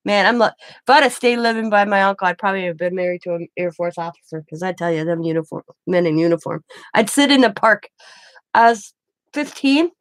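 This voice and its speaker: A woman's voice: mid-range voice, a little fast, no room sound. A woman from the Midwest, chatting casually and rambling while she crafts, laughing often. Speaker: craft vlogger.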